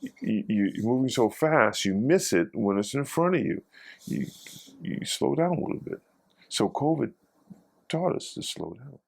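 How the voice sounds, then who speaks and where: A man musing philosophically in an interview, with halting phrasing. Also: medium pitch, expressive, creaky, a little mellow, breathy edge. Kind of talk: reflective personal interview.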